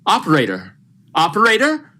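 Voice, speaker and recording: A woman's voice: medium pitch, wildly swooping pitch, moderate pace, noisy background. A woman playing a steadying, practical doctor in a vintage radio thriller, speaking in an earnest, reassuring way. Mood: urgent, concerned.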